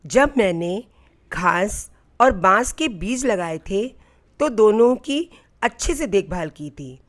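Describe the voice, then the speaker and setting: Woman voicing a patient, unhurried God giving counsel. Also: mid-range voice, expressive. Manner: patient, reassuring, instructive. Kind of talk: storybook narration.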